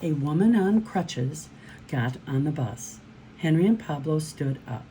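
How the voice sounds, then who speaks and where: A woman's voice: sits low, expressive, measured pace, mellow, a little hiss or hum, rough recording. A woman reading a children's storybook aloud as host, encouraging and turning to her young listeners with questions.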